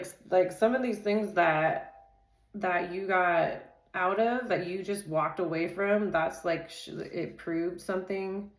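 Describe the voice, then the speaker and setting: A woman doing a casual tarot reading, talking in a loose, rambling way full of "like" and "dude", with a naturally loud voice. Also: fairly low pitch, echoey room, rough recording. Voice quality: says she has a naturally loud voice. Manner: chatty, loose, filler-heavy, says "dude" and "like" constantly. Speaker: tarot reader.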